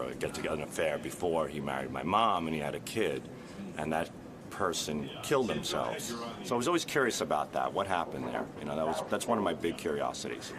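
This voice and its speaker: A man with a New York accent talking off the cuff in an earnest, rambling way as a radio show regular. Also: a bass voice. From Long Island, New York. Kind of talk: unscripted conversation.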